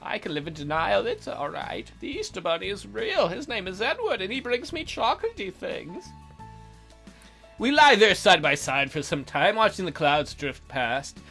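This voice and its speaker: A man narrates a story game in a bright, very animated voice, swinging up and down in pitch. He breaks off for sarcastic, sweary asides, with music playing behind him. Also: moderate pace, creaky. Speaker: let's player. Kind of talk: joking game commentary with read-aloud narration.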